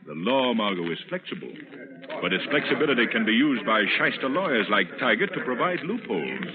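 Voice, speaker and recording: An actor in a 1930s radio drama playing a suave, determined gentleman sleuth, urbane and assured. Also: mid-range voice, sing-song, brisk, a crowd in the background, natural voice. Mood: wry, assured. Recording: noisy, tinny 1930s radio recording.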